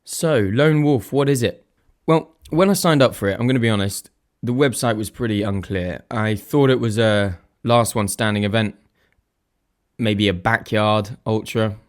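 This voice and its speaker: A young man hosting his own podcast, a little croaky, chatting casually and thinking aloud in a self-deprecating way. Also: lowish voice, normal speed. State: slightly croaky voice. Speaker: podcast host. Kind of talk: casual solo podcast chat.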